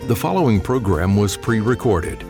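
A man reading a scripted radio show introduction in a smooth announcer manner. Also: on the low side, sing-song, normal speed. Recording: announcer voice over guitar music bed.

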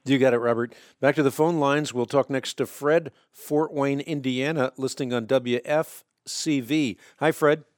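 This is a man hosting a call-in radio show, his first language Dutch. He explains answers fluently and emphatically, sometimes tripping over rapid repeated words. His voice sits in a middle register and is recorded close and dry in the studio. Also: medium pitch, fairly even intonation, no echo. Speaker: radio talk show host.

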